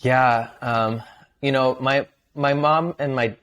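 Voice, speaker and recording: A man who grew up in Venezuela with Spanish as his first language, talking casually as a podcast guest, soft-spoken and laughing between phrases. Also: medium pitch, fairly even intonation, takes it slowly. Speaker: guest, content creator. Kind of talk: casual interview conversation.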